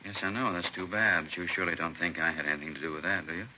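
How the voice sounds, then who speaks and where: An actor plays a nervous, defensive suspect in an old radio crime play. His voice is low and his words come quickly as he dodges and pleads. The recording is muffled and slightly hissy. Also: fairly even intonation, close to an everyday voice. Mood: defensive, wary. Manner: defensive, evasive. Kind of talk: 1950s radio crime drama acting. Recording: dull, noisy vintage radio recording.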